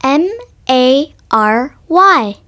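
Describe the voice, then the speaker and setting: High-pitched woman, slow textbook dialogue. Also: expressive, sharp tone. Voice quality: clear, deliberate enunciation. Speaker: voice actor on a textbook recording. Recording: dry studio audio.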